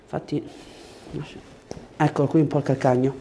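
Bright-voiced man giving soft-paced clinical instructions. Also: on the high side, little pitch movement, normal speed. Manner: short directive phrases, explanatory. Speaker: chiropractor. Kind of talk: clinical instruction to a patient during treatment. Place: small indoor treatment room. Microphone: lapel mic. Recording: clear background room noise.

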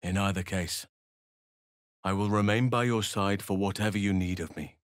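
A man voices a wise, aged male elf druid in a fantasy video game. His voice sits low and is recorded close and dry. He speaks formally and earnestly, with measured, protective gravity. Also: steady tone, smooth, no room sound, natural voice. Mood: earnest, steadfast. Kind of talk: video game character dialogue.

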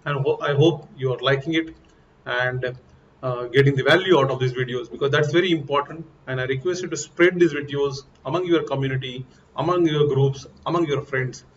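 A middle-aged man, explaining piping over slides in an earnest, step-by-step way, recorded in a small room on a lapel mic. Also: a little high. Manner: earnest, explains step by step, pointing things out on diagrams. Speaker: HVAC instructor. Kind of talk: technical lesson over slides. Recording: dull lo-fi audio with faint noise.

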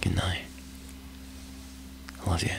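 A man whispering softly in a teasing way, with breathy laughs, in an intimate ASMR roleplay. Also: takes it slowly, rough recording.

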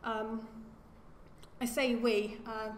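A woman's voice: on the high side, flat delivery, a little hiss or hum. Woman lecturing in an echoey hall. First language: English. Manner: measured, self-correcting with repeated words. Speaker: archaeologist giving a lecture. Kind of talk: academic lecture. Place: lecture room.

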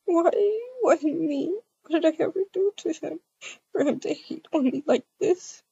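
Woman as tearful young mother, bewildered, dark soft tone, dull lo-fi sound, game roleplay drama. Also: high voice, measured pace, vocal fry, no echo. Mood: hurt, bewildered. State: crying.

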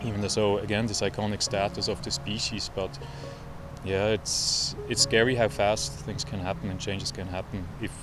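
A man's voice: on the low side, little pitch movement, normal speed. A man in his thirties from Switzerland with a Swiss accent, explaining in a news interview outdoors on a lapel mic, sometimes searching for words. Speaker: interviewee biologist. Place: outdoors in a park. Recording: music bed under speech.

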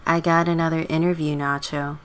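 A woman's voice: deep voice, level tone, a little fast, lightly acted. A woman playing a stubborn, sardonic teenage runaway girl with a dry manner in a scripted game story. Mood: casual.

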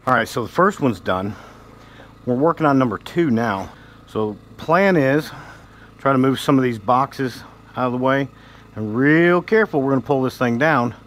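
A man's voice: mid-range voice, expressive, moderate pace. A middle-aged man giving casual, unpolished running commentary as he works outdoors. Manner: folksy, unpolished, talking to himself while working. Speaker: wasp removal vlogger. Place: open barn and yard outdoors. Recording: loud engine-like vacuum and outdoor noise.

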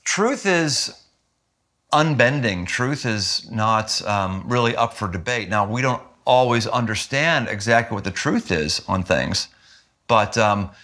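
Man, 50s, senior pastor, earnest and emphatic, bright tone, sit-down interview, living room. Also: measured pace. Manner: earnest, emphatic, with lively jumps in pitch when stressing points. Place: living room with window, indoors.

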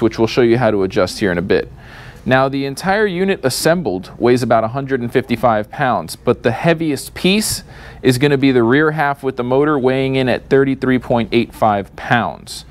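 Guy in his 30s doing a chill salesy walkthrough, reading specs in a showroom. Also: mid-range voice. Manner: upbeat salesy, reads specs off a sheet. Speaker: mobility store host. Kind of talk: product walkthrough. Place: showroom full of scooters.

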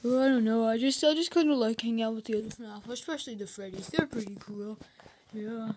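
A woman's voice: fairly high pitch, a little fast, a little mellow, slight background noise, lightly acted. A woman voicing a chatty, boastful animatronic bear plush, with a swooping, self-satisfied way of talking. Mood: casual. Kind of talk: improvised plush-toy interview.

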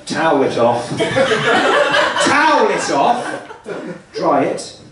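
Animated man doing stand-up, very echoey club. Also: on the high side, slow. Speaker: stand-up comedian. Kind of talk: stand-up crowd work, improvised riffing. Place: small indoor comedy club stage. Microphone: handheld stage mic, recorded from room. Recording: echoey comedy club, distant camera, loud crowd noise. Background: audience laughter, noise.

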